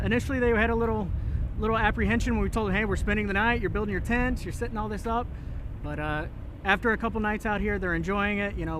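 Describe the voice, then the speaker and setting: A man with a somewhat high-pitched voice speaks as a military training instructor. He explains things in an interview style. Clear outdoor traffic-like noise runs behind him. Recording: outdoor noise, vehicle hum.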